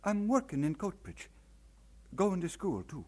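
An actor playing an earnest young Scottish working lad in an old-time radio drama. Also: male voice, sits high, huge pitch swings, measured pace, a little mellow, exaggerated voice. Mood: earnest, longing.

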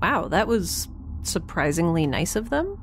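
Candid young sci-fi heroine: lower-toned, informal, inquisitive and wry, for audio drama. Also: female voice, big pitch swings, unhurried, slight background noise. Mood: curious. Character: candid, curious, wry young woman in space.

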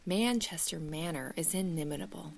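A woman's voice: low-pitched, normal speed, vocal fry, rough recording. A woman playing a refined, coy lady hotel guest with elegant, formal phrasing in an old-time radio drama.

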